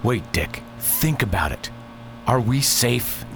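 A man playing a steady, cautious engineer sidekick with clipped, level-headed speech in a space opera radio drama. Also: mid-range voice, slow, vocal fry, music in the background.

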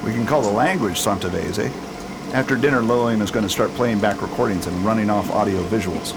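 A man's voice: on the low side, brisk, noisy background, close to an everyday voice. A man acting an assertive, impatient leader of a space contact team in a science fiction radio drama. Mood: matter-of-fact.

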